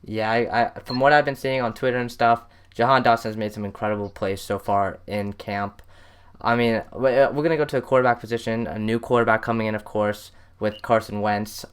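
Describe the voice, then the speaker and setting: An American man hosts a casual sports interview. His voice is clean and smooth, sitting slightly on the low side, as he puts questions to his guest. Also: steady tone, brisk. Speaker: podcast host, interviewer.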